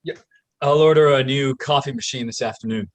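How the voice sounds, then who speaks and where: A man's voice: medium pitch, brisk, no echo, close to an everyday voice. A man playing a cocky, teasing private investigator in a screenplay table read. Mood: dry, cocky.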